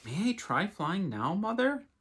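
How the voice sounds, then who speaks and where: A man's voice: high voice, sing-song, measured pace, a bit bright, a little hiss or hum, exaggerated voice. A man voicing a curious, eager young male bird in a children's storybook, asking questions. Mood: excited.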